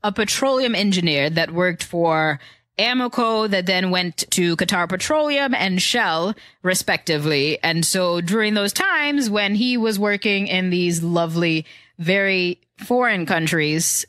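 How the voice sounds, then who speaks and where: A woman's voice: on the low side, lively intonation, unhurried, fairly bright tone, no room sound, clean studio sound. A woman in her thirties with a hybrid Trinidadian-American accent, a guest answering podcast interview questions in composed, precise phrasing. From born in Houston, raised in Trinidad. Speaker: guest, tech founder.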